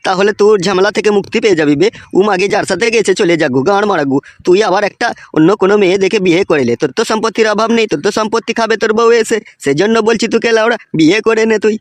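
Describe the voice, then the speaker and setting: Man voicing a rapid-fire, high-pitched cheeky villager. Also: no room sound, close to an everyday voice. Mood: insistent advising. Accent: rural West Bengal. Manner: crude, swearing, teasing and insistent. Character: cheeky foul-mouthed village man, nosy advice-giving friend. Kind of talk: comic cartoon dialogue.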